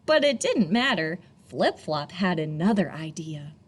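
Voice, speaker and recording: A woman reading a picture book aloud to children expressively, in a teacherly way, pausing for young listeners. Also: fairly low pitch, very animated, breathy edge.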